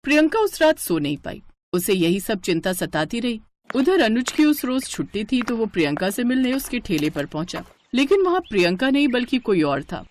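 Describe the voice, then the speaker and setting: A woman's voice: on the high side, sing-song, moderate pace, darkish tone, a little hiss or hum. A woman narrating a scripted moral story as a storyteller.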